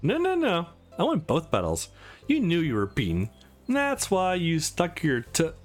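Man acting as cocky young male game character, taunting, boastful, very varied pitch, music behind. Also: huge pitch swings, a little slow, exaggerated voice. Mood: insistent.

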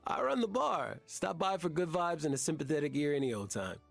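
An actor voicing a charming, warm young barman with a gravelly, husky voice in a cozy video game. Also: fairly high pitch, expressive, unhurried, faint music behind, heavily acted. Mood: charming, friendly.

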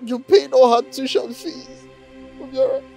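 A man's voice: very high-pitched, measured pace, gravelly, warm tone, soft background music, exaggerated voice. A man playing a grieving, bitter friend who scolds at a grave. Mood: bitter grief. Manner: bitter, reproachful.

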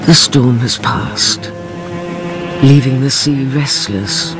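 An older actress playing a grave storyteller, delivering dramatic narration for a radio drama. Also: female voice, a bass voice, takes it slowly. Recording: drama mix with music behind.

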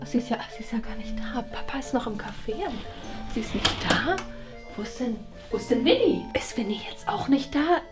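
A woman's voice: a little high, animated, moderate pace, loud music behind the voice, close to an everyday voice. A woman playing a harried, exasperated mother who mutters and complains to herself in a family sketch. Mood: exasperated muttering. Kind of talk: scripted family sketch for children. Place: indoors, moving through rooms.

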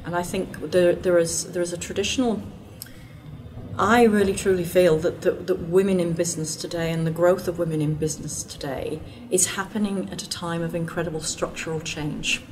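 A woman's voice: lowish voice, darkish tone, slight background noise, rough recording. A middle-aged woman with an English accent, a panel guest speaking earnestly with hesitant restarts, in a conference room before an audience. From England. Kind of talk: panel discussion.

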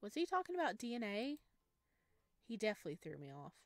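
A woman's voice: mid-range voice, sing-song, fast talker, no echo. A woman doing a visual novel let's play, reading aloud and reacting in a chatty, playful, giggly way. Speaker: game streamer.

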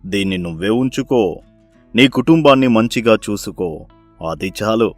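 Guy doing a kindly swami from a village fable, slow and measured, serene, music behind. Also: fairly low pitch, lively intonation, no room sound. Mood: benevolent. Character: serene, kindly holy man posing as selfless ascetic. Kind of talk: dramatised moral story for cartoon.

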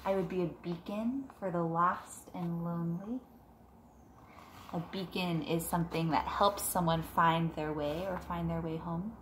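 A woman in her thirties, a teacher reading a picture book aloud gently and unhurriedly, drawing out words, at home. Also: on the low side, steady tone, measured pace, rough recording. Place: living room at home, on a couch. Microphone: device camera a short distance away. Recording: noticeable room background noise.

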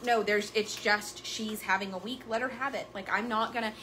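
A woman's voice: medium pitch, steady tone, normal speed, fairly bright tone, slight background noise, rough recording. A woman in her thirties hosting a casual vlog chat, talking frankly and animatedly to her viewers from a wood-paneled room. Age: 30s. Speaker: horse business owner and channel host.

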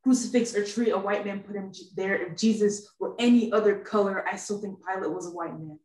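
A woman's voice: mid-range voice, steady tone, fairly quick, a little mellow, echoey room, rough recording. A nineteen-year-old woman from Texas performing spoken word poetry, standing back from a webcam in a living room. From Houston, Texas, US. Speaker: spoken word poet, university student. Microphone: webcam a few steps away.

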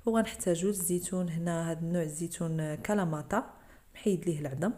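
A woman's voice: lowish voice, fast, mellow. A woman with a Moroccan Arabic accent, narrating a cooking tutorial over her video and explaining each step to the viewers. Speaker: cooking channel host.

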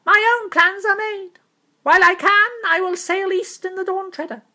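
A reader voicing a brave, proud talking mouse knight who speaks in a solemn, high-flown, resolute way. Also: fairly even intonation, normal speed, pushed and bright, full character voice.

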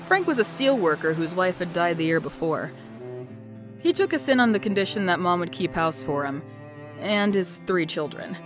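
Woman doing a wry, wistful young narrator with a lively, animated pitch. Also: moderate pace, faint music behind, natural voice. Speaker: narrator of her own past. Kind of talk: comic dub narration.

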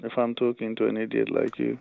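A man's voice: mid-range voice, completely flat delivery, a little fast, gravelly, slight background noise. A man on a casual phone call, answering back in a dismissive, unimpressed way. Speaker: prank call recipient.

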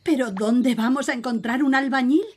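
A woman's voice: sits high, moderate pace, a little mellow, slight background noise, close to an everyday voice. A woman voicing a sceptical, refined fairy queen and mother in a children's cartoon. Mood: doubtful. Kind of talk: animated children's cartoon dub.

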